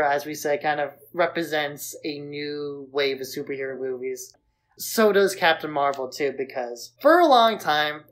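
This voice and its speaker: Female podcast co-host, low-pitched, informal and opinionated film talk. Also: poor audio. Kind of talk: casual opinionated film discussion.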